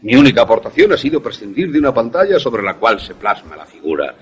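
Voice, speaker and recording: Older male professor for suspense radio drama, man acting: very husky, animated intonation, didactic, obsessive inventor. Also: mid-range voice. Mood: didactic, proud. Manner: didactic, deliberate, emphatic explanations.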